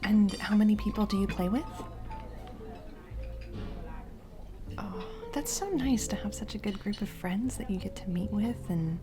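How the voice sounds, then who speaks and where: A woman playing a warm single mom on a first date in a romantic audio roleplay, speaking hesitantly and encouragingly with soft giggles. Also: medium pitch, brisk, natural voice. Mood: interested, encouraging. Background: background music, restaurant date ambiance.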